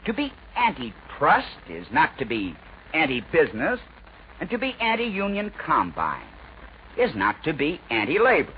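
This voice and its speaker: A man with an American accent delivers a scripted 1940s radio editorial in an oratorical, emphatic way, with deliberate rhetorical pauses. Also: a little high, huge pitch swings. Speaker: radio news commentator. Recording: dull, noisy 1940s radio recording.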